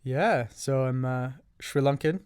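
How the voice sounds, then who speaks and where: A man from Canada speaking as a podcast guest, with a smooth, clear voice in a dry, close recording. He is measured and breaks his explanations into ordered points. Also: mid-range voice, a little mellow, no room sound. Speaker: guest, revenue operations leader. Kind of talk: interview answers.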